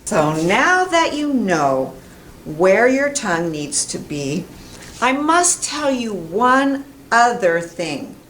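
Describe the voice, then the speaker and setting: American woman, middle-aged teacher, very slow emphatic delivery, careful enunciation, roomy distant recording. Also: medium pitch, very animated, fairly bright tone. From United States. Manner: deliberate, emphatic, enunciating sounds and words. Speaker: English pronunciation teacher. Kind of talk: instructional pronunciation lesson to camera. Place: small classroom with whiteboard, indoors. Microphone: camera mic at a distance. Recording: roomy, distant camera mic, faint noise.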